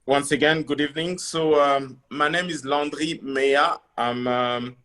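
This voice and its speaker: A middle-aged man from the Democratic Republic of Congo giving a business presentation on a video call, speaking in a halting, deliberate way. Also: a little high, fairly even intonation, fairly bright tone. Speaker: conference speaker, company founder.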